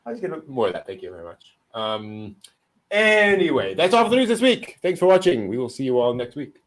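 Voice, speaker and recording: A man's voice: mid-range voice, wildly swooping pitch, brisk. A man hosting an anime news show in casual panel chat, often chuckling as he talks.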